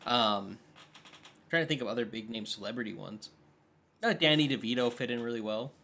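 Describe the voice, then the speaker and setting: A man co-hosting a film podcast, explaining voice-casting trivia in a relaxed, knowledgeable way. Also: medium pitch, a little fast. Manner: explanatory, knowledgeable about voice acting. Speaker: podcast co-host. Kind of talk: casual chat about films.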